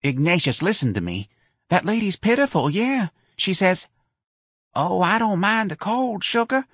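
A sentimental, chatty older mother, as a male narrator puts her on. Her voice rides high and wavers as she pleads and fusses. The recording is close and dry. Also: very animated, measured pace, a bit bright, husky, no room sound, heavily acted. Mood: pitying. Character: fussy elderly working-class mother, sentimental.

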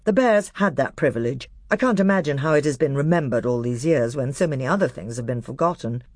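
Young storybook king, read by a narrator: noble, firm, courteous, grave but kindly, animated intonation. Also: medium pitch, no echo, clean studio sound, lightly acted. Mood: kindly, patient. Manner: measured, grave, kindly authority.

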